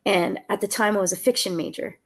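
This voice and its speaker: A woman tells stories from her own life in an easy, conversational way, laughing often. She sounds a little congested from a cold. Her voice has a gravelly, creaky texture. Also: mid-range voice, brisk. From Southern California. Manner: laughs often, warm and storytelling. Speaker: author guest. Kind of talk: conversational book talk.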